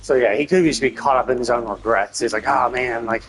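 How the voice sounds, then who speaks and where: A man's voice: medium pitch, a little fast, background noise. A man streaming a game, giving casual live commentary in a rambling, repetitive way as he thinks aloud. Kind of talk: casual live commentary, speculating on a game's story. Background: music with plucked guitar.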